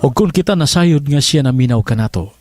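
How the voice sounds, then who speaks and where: A man's voice: medium pitch, lively intonation, a little fast, noisy background. A man giving a scripted devotional scripture reading over guitar music. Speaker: devotional reader.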